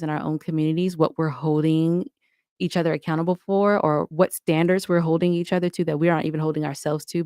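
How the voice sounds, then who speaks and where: A woman with a fairly low voice chats in a casual interview. She is candid and playful, telling things in an animated, conversational way. The sound is dry, with almost no room. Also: smooth, dry recording. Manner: chatty, candid, animated storytelling with playful asides. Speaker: podcast guest, audio drama podcaster.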